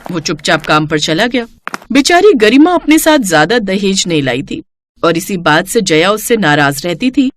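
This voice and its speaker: A woman's voice: mid-range voice, very animated, normal speed. A woman narrating a scripted moral story in a storyteller's style.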